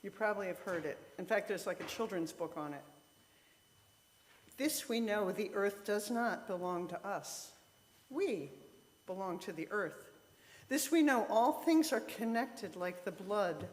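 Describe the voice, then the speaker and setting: A woman reading solemnly at a church service, with measured, deliberate phrasing. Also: mid-range voice. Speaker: worship service reader. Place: church sanctuary, heard from the room. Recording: echoey hall with long reverb.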